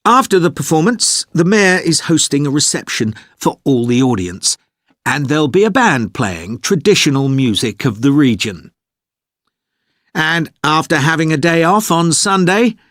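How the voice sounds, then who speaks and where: An organised tour leader, courteous and measured, with a fairly high, lively voice. He explains arrangements politely in a scripted briefing, recorded close and dry. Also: no room sound. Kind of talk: scripted informational monologue for an English listening test.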